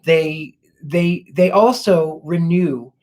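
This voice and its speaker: A man hosting a casual livestream, answering viewer questions in a courtly, gracious way, thanking people warmly and pausing to restart his sentences as he searches for words. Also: fairly high pitch. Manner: reflective, many restarts while searching for words. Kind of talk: casual live Q&A chat.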